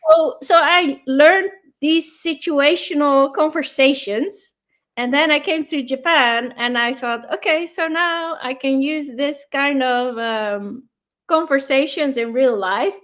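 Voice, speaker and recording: A woman's voice: high voice, slow, fairly bright tone. A woman whose first language is Dutch, a guest in a casual interview, explaining things patiently with many fillers.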